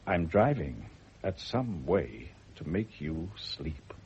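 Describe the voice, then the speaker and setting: An actor playing a calm, frank male doctor who reasons patiently and deliberately in a radio drama. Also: rumbling low, heavily acted. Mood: measured, frank. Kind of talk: 1970 radio drama acting. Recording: dull, noisy old radio recording.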